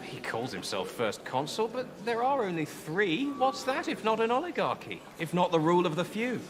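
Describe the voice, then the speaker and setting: An actor playing a sceptical, argumentative musician in a period drama, pointed and contrary. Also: male voice, high-pitched, sing-song, moderate pace. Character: sceptical, argumentative male musician opposing Napoleon. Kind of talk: period television drama acting. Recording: noisy background.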